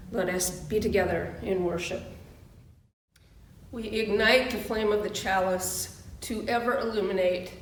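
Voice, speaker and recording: A woman minister giving a solemn, measured reading for worship, recorded at a distance in a roomy space. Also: medium pitch. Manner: measured, deliberate phrasing. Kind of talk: solemn scripted liturgical reading. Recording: roomy, distant mic, background hiss.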